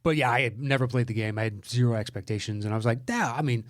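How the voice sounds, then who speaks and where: A man's voice: mid-range voice, quick pace. Man co-hosting a casual film chat. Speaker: podcast co-host.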